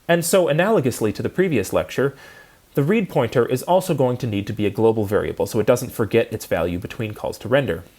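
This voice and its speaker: A man giving a prepared technical lecture, explaining methodically in clearly structured phrases. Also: mid-range voice, expressive, quick pace, a little mellow. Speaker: lecturer in audio programming. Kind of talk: prepared instructional lecture narration.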